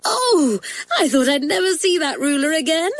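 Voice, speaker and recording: A woman's voice: high voice, animated, normal speed, dry recording, voice pushed up. A woman voicing a delighted young schoolgirl in a storybook, exclaiming shrilly. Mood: squealing delight.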